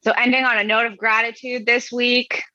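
A woman's voice: on the high side, flat delivery, fairly quick, pushed and bright, muffled recording. A woman co-hosting a podcast, chatting casually and making dry, wry quips. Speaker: podcast co-host. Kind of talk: casual chat.

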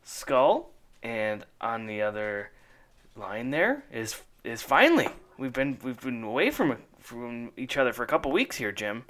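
A man's voice: mid-range voice, sing-song, unhurried, fairly bright tone. A man co-hosting a casual podcast, chatty and laughing often, repeating his words as he goes. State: feeling run down. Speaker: podcast co-host. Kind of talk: casual chat.

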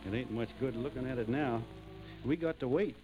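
An actor in an old radio drama playing a humble young backwoods farmer turned soldier, with a halting, folksy rural Tennessee drawl. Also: male voice, medium pitch, over music, lightly acted, rough recording. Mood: bashful, hesitant. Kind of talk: 1940s radio drama acting.

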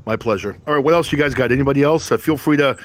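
A man hosting a live online discussion, briskly making announcements and handing the floor to guests in a friendly way. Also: mid-range voice. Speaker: host. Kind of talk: live online panel chat.

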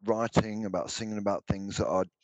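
An older man with a deep baritone voice, answering interview questions about his songs, hesitant with many restarts. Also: little pitch movement, fairly quick, no echo. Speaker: musician guest.

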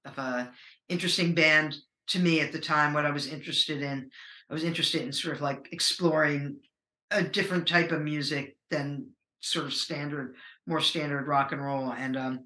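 A middle-aged American woman with a low voice, a musician talking casually in an interview, rambling and hesitant with many fillers. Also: steady tone, moderate pace, bright, forceful tone, echoey room, rough recording. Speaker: musician guest.

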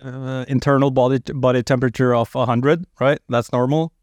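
A man who is not a native English speaker, a composer guest on a casual podcast, talking haltingly with many fillers and restarts. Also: medium pitch, fairly even intonation, darkish tone, no echo, studio quality.

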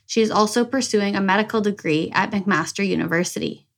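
A woman's voice: medium pitch, fairly quick, gravelly. A woman hosting a podcast interview, reading a scripted introduction and then chatting upbeat with her guest. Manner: upbeat, warm thanking, reads a content warning. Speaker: podcast host.

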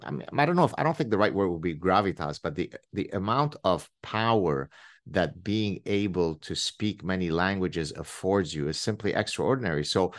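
Deep-voiced man hosting a chat. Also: lively intonation, normal speed. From Lebanon. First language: Arabic. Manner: reflective, restarts phrases, rising to playful emphasis. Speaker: podcast host. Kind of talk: casual conversational interview.

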